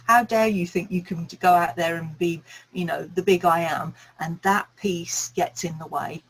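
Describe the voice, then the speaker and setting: A woman's voice: fairly low pitch, fairly even intonation, normal speed, breathy edge, rough recording. Middle-aged woman, light Birmingham accent. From Birmingham, England. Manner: earnest, encouraging, explains at length. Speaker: guest speaking and sales coach. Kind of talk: reflective interview talk.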